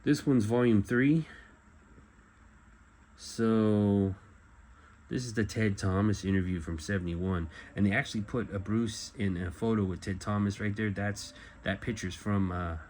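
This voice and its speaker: Middle aged collector guy, kinda low voice, rambly show and tell, corrects himself, noisy room tone behind him. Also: fairly even intonation, a little mellow. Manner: halting, rambling, often self-correcting. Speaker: collector presenting memorabilia. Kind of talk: casual show-and-tell vlog. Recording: clear background noise.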